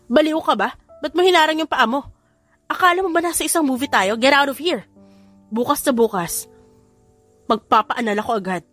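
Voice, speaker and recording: A woman's voice: sits high, a little fast, pauses often, a bit bright, faint music behind, pitched above the performer's own voice. A woman with a Filipino accent voicing a feisty, defensive young woman with a snappy, indignant manner in an audiobook romance. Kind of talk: audiobook romance character acting.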